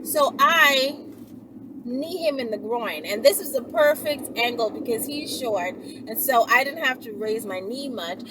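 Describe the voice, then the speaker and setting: A woman vlogging in a casual, chatty storytelling style, blunt and slangy, with animated asides. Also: on the high side, background noise. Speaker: vlogger and channel host.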